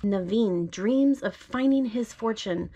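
A woman speaking gently, as a parent does to a small child at bedtime. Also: medium pitch, slow pace, lo-fi sound. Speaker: parent reading aloud. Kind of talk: bedtime storybook read-aloud. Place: bedroom at home.

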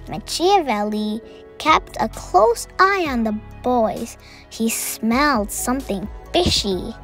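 A young girl reading a picture book aloud and chatting about the pictures to her viewers. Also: sits high. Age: child. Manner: reads text loudly, comments softly to viewers. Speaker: storybook reader.

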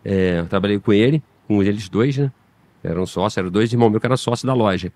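A man's voice: lowish voice, fast talker, no echo. A middle-aged man from Minas Gerais with a slight Minas Gerais accent, a podcast guest who owns a bike shop, chatting casually with hesitant restarts. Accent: slight Minas Gerais Brazilian Portuguese. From Minas Gerais, Brazil. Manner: relaxed, hesitant, many restarts. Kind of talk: casual interview chat.

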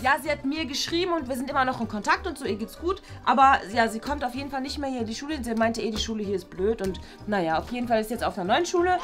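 A woman's voice: fairly high pitch, expressive, rapid-fire, few pauses, faint music behind, close to an everyday voice. A woman playing a chatty, studious schoolgirl who rambles with lots of fillers. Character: chatty studious schoolgirl, a bit know-it-all. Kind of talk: scripted web series acting.

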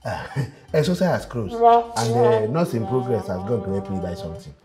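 A man's voice: mid-range voice, big pitch swings, normal speed, gravelly. A man with a Nigerian accent playing a cheeky, bargaining medicine shopkeeper in a comedy skit. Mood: coaxing. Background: loud music.

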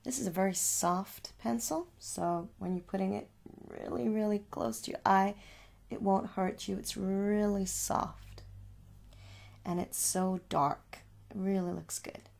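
A young woman speaking softly in an ASMR shop roleplay, coaxing the listener with little questions in a husky voice. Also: mid-range voice, steady tone, unhurried. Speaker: ASMR performer playing a makeup shop seller. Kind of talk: soft-spoken ASMR roleplay. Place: small room at home.

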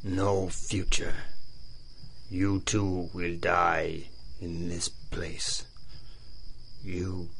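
An actor playing a grave, defiant servant with second sight, solemn and portentous in a radio adventure. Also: male voice, deep voice, flat delivery, drawn-out words, gravelly, long pauses, a bit bright, heavily acted. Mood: solemn defiance. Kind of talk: 1930s-style radio adventure acting. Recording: noisy night ambience with insects.

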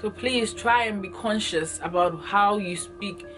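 A woman's voice: medium pitch, measured pace, music in the background. A young woman from Ghana, talking to the camera in an earnest, emphatic way and repeating herself for stress, recorded close up in a small room. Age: young adult. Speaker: vlogger. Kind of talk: passionate direct-to-camera monologue. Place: small indoor room, plain wall. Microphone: camera in front at close range.

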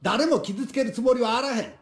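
A man's voice: very high-pitched, racing. An actor playing a stern, blunt male bank robber with a Kansai Japanese accent in a video game. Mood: stern, commanding. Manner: blunt, commanding. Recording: echoing room sound.

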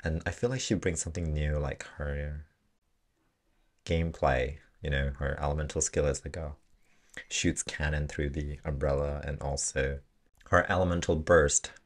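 A man's voice: a bass voice, steady tone, normal speed. A young adult man giving casual reaction commentary as a gaming video host, speaking close to a desk microphone in a room.

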